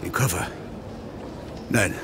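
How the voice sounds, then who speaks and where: An actor playing a traveler trying to get away and cornered by police in a radio crime drama. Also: male voice, takes it slowly, lightly acted. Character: male fleeing traveler cornered by police at airport. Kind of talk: 1950s-80s German radio crime drama acting. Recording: noisy airport ambience behind old radio play.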